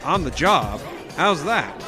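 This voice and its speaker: A brash young 1920s reveler, full of teasing. His voice is on the high side and animated, over music. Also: slow. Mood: playful. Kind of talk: 1920s period audio drama dialogue. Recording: music behind the voice.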